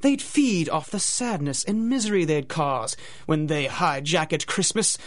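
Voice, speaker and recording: Dramatic radio announcer, slightly high voice, measured rhyming storyteller, old timey pulp style. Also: male voice, expressive. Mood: grave, dramatic. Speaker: announcer and narrator.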